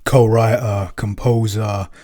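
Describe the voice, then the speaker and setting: British man, low voice, dry humor. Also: steady tone, slow pace, warm tone. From Britain. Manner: dry, joking, thoughtful asides. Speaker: podcast producer, co-writer and composer. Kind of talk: casual podcast chat.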